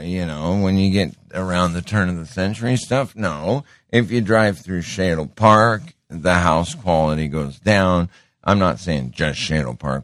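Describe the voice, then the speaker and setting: A very deep-voiced middle-aged man hosts his show in a slow, unhurried ramble, circling back and repeating words as he interrupts himself. The sound is close and dry, with no room around it. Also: fairly bright tone, dry recording. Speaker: show host. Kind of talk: casual monologue.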